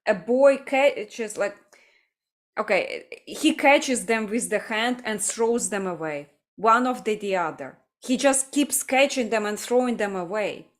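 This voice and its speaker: A woman from Ukraine hosting a livestream discussion, speaking earnestly and haltingly as she searches for her words. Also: medium pitch, expressive. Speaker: livestream host. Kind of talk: casual discussion.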